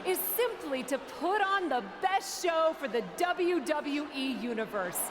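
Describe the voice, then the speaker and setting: A woman playing an authoritative, smug wrestling general manager in a video game, speaking in a formal, measured, theatrical announcing style over background noise. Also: high-pitched, animated. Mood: formal, assured. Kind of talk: scripted promo speech to an arena.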